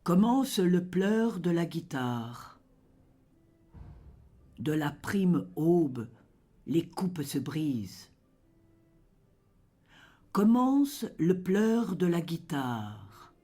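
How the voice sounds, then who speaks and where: A person reciting poetry in a solemn, measured and dramatic way, letting phrase endings fall into a hush. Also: mid-range voice, very animated. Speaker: poetry reader.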